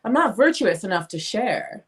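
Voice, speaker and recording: Casting: breezy, slightly vain sister female actor, swooping intonation, medium pitch, affectionate, play reading. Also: very animated, moderate pace, natural voice. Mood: chatty, breezy. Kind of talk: dramatic script reading.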